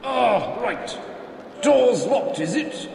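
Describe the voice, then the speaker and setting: An actor playing a genial, fussy British gentleman ghost in a video game, hesitant, polite and effusive. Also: extremely high pitch, expressive, extremely slow, gravelly, crowd noise, exaggerated voice. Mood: mildly flustered. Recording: echoey stone hall, loud background noise.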